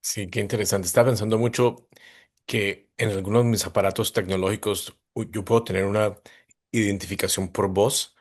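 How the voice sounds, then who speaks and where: Calm man moderating. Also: fairly low pitch, a little fast. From Colombia.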